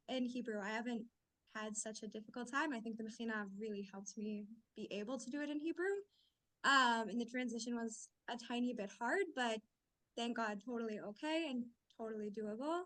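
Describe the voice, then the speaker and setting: A woman's voice: a little high, moderate pace, a bit bright. A young woman giving casual answers as a student panelist over a video call. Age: young adult.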